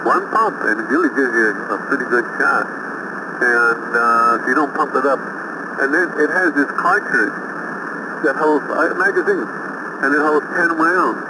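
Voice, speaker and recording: Loud radio static, shortwave transmission, man, medium pitch, casual ham operator chat, conversational rambling delivery. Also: unhurried. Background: loud radio static and noise.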